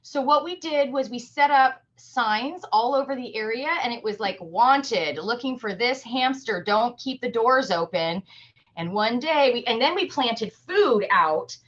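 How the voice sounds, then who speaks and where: A woman's voice: a little high, animated, unhurried, fairly bright tone. A woman working as an animal shelter educator, explaining things simply and encouragingly to children over a video call. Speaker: humane society educator. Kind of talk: virtual field trip talk to children. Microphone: computer on a video call. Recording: dull, lo-fi video call audio.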